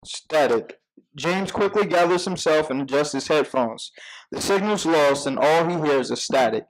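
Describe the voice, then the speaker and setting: Higher-pitched man narrating screenplay directions. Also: animated, moderate pace, low-quality recording. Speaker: narrator reading stage directions. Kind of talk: scripted narration at a live table read.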